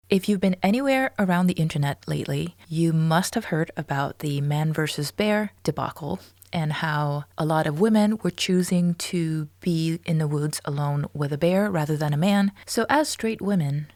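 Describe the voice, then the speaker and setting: Low-voiced woman hosting a podcast, dry sound. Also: darkish tone, no echo. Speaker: podcast host. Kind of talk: reflective podcast commentary addressed to listeners.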